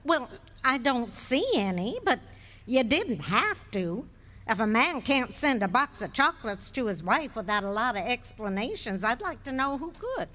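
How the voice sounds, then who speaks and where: Actress as a slow, lilting, teasing wife, lively in pitch, recorded live in a reverberant hall. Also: female voice. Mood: delighted. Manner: fond, teasing, drawn-out delivery. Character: patient teasing middle-aged wife. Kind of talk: 1940s radio acting.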